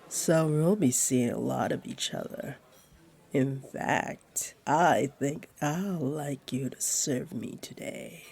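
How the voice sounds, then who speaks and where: A woman playing a flirty, teasing, laid-back jaguar beastling in a roleplay audio, with a deep, breathy, husky voice and a playful, soothing delivery. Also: dark tone, heavily acted. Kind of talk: roleplay audio acting, addressed to one listener.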